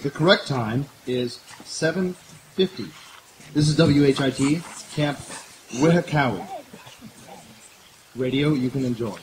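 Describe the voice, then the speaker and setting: A man playing a formal station announcer in 1930s radio style. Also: on the high side. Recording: distant stage mic under loud piano.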